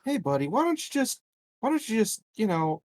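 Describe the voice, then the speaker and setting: A man's voice: high-pitched, sing-song, fairly quick, pitched above the performer's own voice. A man playing an overly friendly good-cop interrogator in a tabletop game, coaxing in a chummy way.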